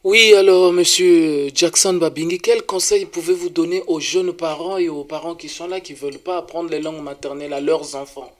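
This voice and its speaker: Formal male interviewer, a bit high. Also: steady tone, moderate pace, few pauses, roomy sound. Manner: formal, addresses the guest as monsieur. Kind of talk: interview questions.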